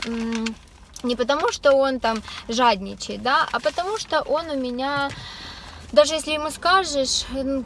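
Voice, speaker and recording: A young woman chatting casually to the camera from her parked car, with many filler sounds and light laughs. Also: sits high. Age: young adult. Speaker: vlogger. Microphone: camera on the dashboard. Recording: faint background noise.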